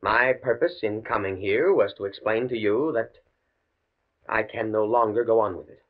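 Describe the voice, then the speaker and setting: An actor playing an anxious, principled professor in 1950 radio drama style, hesitant, stammering and earnest. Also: male voice, on the low side, moderate pace, lightly acted. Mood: strained defiance. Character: anxious, principled male professor trapped by blackmail. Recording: muffled old radio recording.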